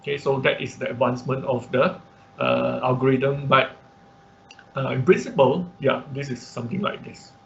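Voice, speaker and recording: A man's voice: mid-range voice, fairly even intonation, normal speed, gravelly. Man teaching online through dull, noisy audio. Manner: frequent tag questions like "okay" and "right". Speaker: online class instructor. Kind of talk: informal explanatory online lecture.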